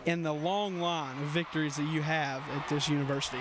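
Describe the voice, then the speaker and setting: A man's voice: fairly high pitch, fairly even intonation, fairly quick. A man working as a sports reporter, asking interview questions on location. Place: sports arena. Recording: clear background noise on location.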